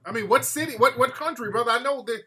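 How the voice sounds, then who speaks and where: Male call-in radio host, high voice, sharp bright tone, blunt, impatient, repeats his questions. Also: quick pace, husky. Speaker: radio talk show host. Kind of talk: call-in show, pressing questions.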